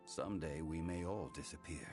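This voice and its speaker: A man playing an ancient, calm and wistful god in human guise in a video game, speaking formally and solemnly. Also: very deep voice, moderate pace. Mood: solemn, reflective. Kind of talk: scripted video game voice acting. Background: music.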